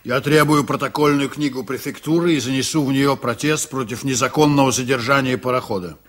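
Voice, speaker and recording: A man's voice: medium pitch, a little fast, fairly bright tone, close to an everyday voice. A man acting a proud, stubborn sea captain in a radio drama, speaking firmly and formally with ironic bite. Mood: firm, indignant.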